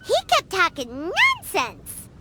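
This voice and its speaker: A woman voicing a tiny, excitable, bossy childlike fairy companion in a video game, squeaky and exclaiming. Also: slow, heavy background noise, full character voice. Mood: puzzled. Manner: refers to self by name, exclaims. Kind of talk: animated video game voice acting.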